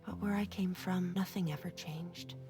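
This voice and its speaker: An actress playing a wistful, questioning young woman in a video game drama, hushed and breathy. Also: on the low side, steady tone, normal speed, natural voice. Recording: music behind.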